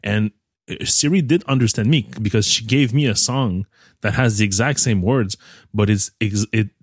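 Man, low voice, exasperated tech reviewer, dry close mic. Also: moderate pace, dry recording. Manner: exasperated, storytelling. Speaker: podcast co-host, tech reviewer. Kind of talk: casual tech chat.

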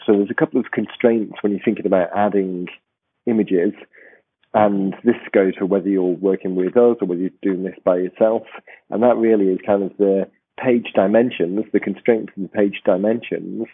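A man hosting a podcast, with a croaky voice and a rambling, restarting way of talking. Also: lowish voice, steady tone, moderate pace, no room sound. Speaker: podcast host. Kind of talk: casual podcast chat. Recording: tinny phone-like line.

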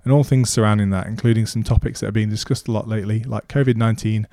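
Lowish-voiced man hosting a podcast. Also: steady tone, quick pace, vocal fry, warm tone. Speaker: podcast host, interviewer.

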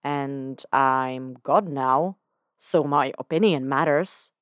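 A woman voicing a suave male devil who has become God, speaking in a dry, unhurried, formal way. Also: very deep voice, no room sound.

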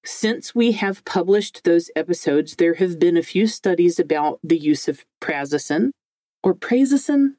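A woman with a deep, low-range voice co-hosting a podcast in a casual, chatty, jokey way. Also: animated, unhurried, warm tone, dry recording. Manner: informal, jokey, mildly sweary. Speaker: podcast co-host.

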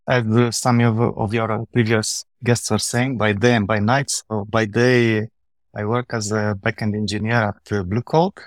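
Casting: male podcast guest, Romanian accent and native language, lowish voice, dry recording, explains in conversation. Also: dry recording. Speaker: podcast guest, software engineer. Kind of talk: conversational interview answers.

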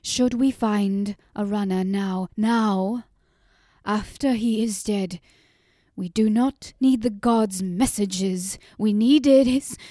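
A woman's voice: mid-range voice, takes it slowly, warm tone, no room sound, studio quality, lightly acted. Woman voicing a grief-stricken mother, shaking with rage. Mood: anguished. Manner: shaking, shrieking, bitter. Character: grieving furious mother, a woman in middle age.

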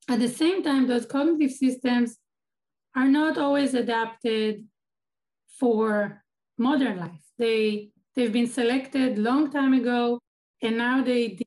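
A woman's voice: fairly high pitch, darkish tone, low-quality recording. A woman from Israel whose first language is Hebrew, an academic and activist giving thoughtful interview answers and often restarting her sentences.

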